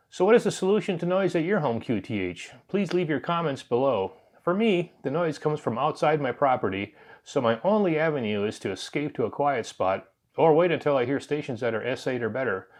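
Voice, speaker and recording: A man's voice: medium pitch, darkish tone. A man narrating an amateur radio video from a prepared script.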